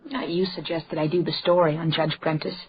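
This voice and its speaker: An actress playing a bold newspaper reporter, knowing and teasing, in a radio crime drama. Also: female voice, deep voice, little pitch movement, brisk, dull audio, a crowd in the background, close to an everyday voice.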